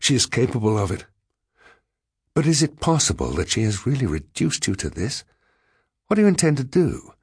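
Wounded college man, acted by a male reader: mumbling, formal, indignant, husky, voice pushed higher for the story. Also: very animated, moderate pace, pauses often, a little mellow, no echo, studio quality. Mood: uneasy, questioning. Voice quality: mumbling through swollen lips. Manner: stern, formal. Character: battered, indignant college man, accusing then cautious.

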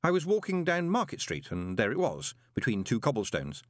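Man playing a shrewd, lively postmaster. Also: mid-range voice, a little fast, no room sound, studio quality, voice pushed up. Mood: smooth-talking. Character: shrewd, wry adult male postmaster.

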